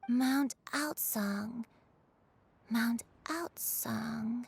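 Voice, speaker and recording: A frail, breathy old granny. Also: female voice, fairly high pitch, exaggerated voice. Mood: wistful. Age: older. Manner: hesitant, halting, soft-spoken. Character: frail elderly grandmother with a fading memory. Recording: game audio with soft music.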